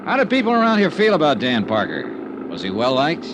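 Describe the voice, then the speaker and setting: An actor playing a wry, businesslike insurance investigator with clipped delivery in a 1950s radio drama. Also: male voice, fairly high pitch, huge pitch swings, brisk, noisy background. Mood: probing, matter-of-fact. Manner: clipped, wry, matter-of-fact. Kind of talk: 1950s radio detective acting and narration.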